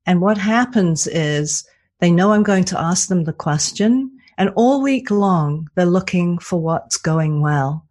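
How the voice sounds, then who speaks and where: A woman author and cantor speaking in a radio interview, soft and soothing, with hesitant restarts. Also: fairly low pitch, lively intonation, measured pace. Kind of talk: casual interview talk.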